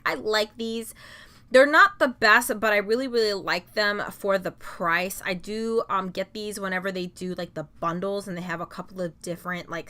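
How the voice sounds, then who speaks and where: A woman's voice: mid-range voice, pushed and bright. A young adult woman vlogger giving a casual, chatty product review, filling her talk with "like" and "um". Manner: chatty, many "like" and "um" fillers. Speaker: beauty vlogger.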